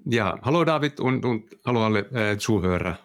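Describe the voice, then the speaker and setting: Mann mit finnischem Akzent, tiefere Stimme. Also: male voice, on the low side, lively intonation, brisk. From Finland. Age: middle-aged. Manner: hesitant, many fillers and restarts. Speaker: guest, managing director. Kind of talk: interview answers.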